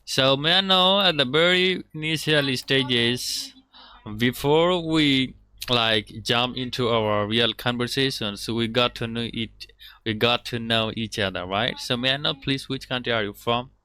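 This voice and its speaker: A man from Bangladesh hosting a casual online practice conversation, speaking slowly and politely, with a lot of repetition. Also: mid-range voice, sharp tone, smooth. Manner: slow, repetitive, polite phrasing. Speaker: conversation host.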